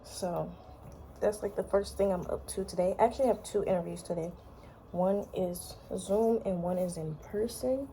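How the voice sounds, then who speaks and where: An American woman vlogger chatting casually in a playful, sassy, slangy way, her voice slightly hoarse. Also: medium pitch, moderate pace, mellow, a little hiss or hum. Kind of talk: casual chatty day-in-the-life vlog.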